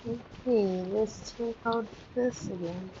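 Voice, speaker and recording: A woman's voice: on the high side, slow pace. A woman giving casual commentary as she streams a video game. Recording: muffled stream mic with clear noise.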